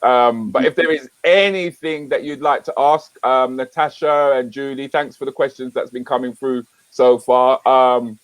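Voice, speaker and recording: A man in his fifties hosts a casual chat show, his voice mid-pitched and unhurried. He talks in a relaxed, conversational way. The sound is close and dry. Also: no echo, rough recording. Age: 50s. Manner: relaxed, conversational, rhetorical questions.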